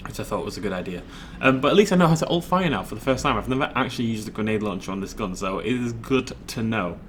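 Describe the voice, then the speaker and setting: A man's voice: on the low side, lively intonation, fast, fairly bright tone, a little hiss or hum. A man casually rambling while commenting on his own gameplay, chatty and self-deprecating. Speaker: let's play host.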